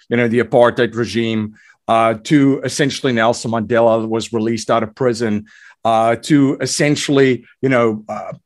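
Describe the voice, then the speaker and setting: A man in his forties, originally from South Africa, guest on a podcast interview, telling his story with plenty of fillers and restarts over a remote call. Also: medium pitch. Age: 40s. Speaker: guest, investor and entrepreneur.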